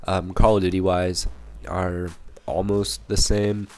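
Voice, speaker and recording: A young man with a cold, hoarse and a little raspy, rambling through casual gameplay commentary with many fillers and restarts. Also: sits low, flat delivery, takes it slowly, darkish tone. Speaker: gaming channel commentator.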